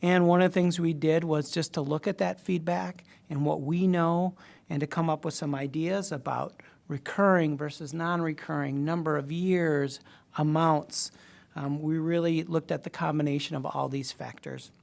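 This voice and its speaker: A man's voice: a little high, fairly even intonation, normal speed, vocal fry. A man facilitating a community group, introducing its presentation to a school board in a chatty, emphatic, hedging way. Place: public meeting room.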